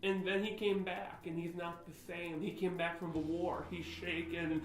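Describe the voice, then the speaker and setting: A man with a lisp co-hosting a podcast in casual chat, rambling and jokey with quick tangents. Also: on the high side, level tone, roomy sound, noisy background. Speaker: podcast co-host.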